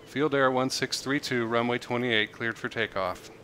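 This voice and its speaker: A man playing air traffic controller, speaking in clipped, deliberate procedural phrases with muttered commentary. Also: medium pitch, steady tone. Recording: very noisy, engine drone behind. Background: flight simulator aircraft ambience.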